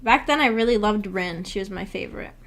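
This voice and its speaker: A woman hosts a casual livestream in a medium-pitched voice. She is hesitant and self-effacing, often giggly, as she reads chat messages and responds to them. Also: brisk, fairly bright tone. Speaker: livestream host. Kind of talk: casual livestream chat, reading and answering viewer comments.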